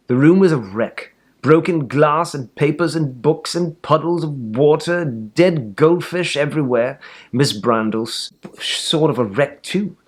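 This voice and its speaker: A man narrates a storybook for children in a very lively, mid-pitched voice. His delivery is expressive, with theatrical emphasis and wide swings in pitch. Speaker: storybook reader.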